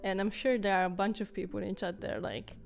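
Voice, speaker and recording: A woman co-hosting a casual chat, with a smooth and resonant voice. She talks in a chatty way and keeps inviting others to share. Faint music sits behind her. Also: mid-range voice, steady tone, quick pace. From Italy. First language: Venetian. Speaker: co-host.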